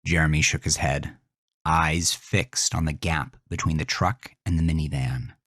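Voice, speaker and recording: A man's voice: a bass voice, steady tone, unhurried, fairly bright tone, no room sound, studio quality. A man narrating a scripted horror story with intense, dramatic delivery that sinks into whispers.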